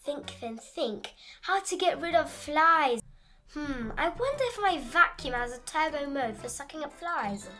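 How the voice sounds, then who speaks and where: A woman's voice: sits high, very animated, a little slow, pushed and bright, soft background music, exaggerated voice. A woman voicing a fussy, dramatic, easily panicked male frog in a children's storybook, with theatrical, scolding delivery. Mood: alarmed, frantic. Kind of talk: animated children's storybook read-aloud.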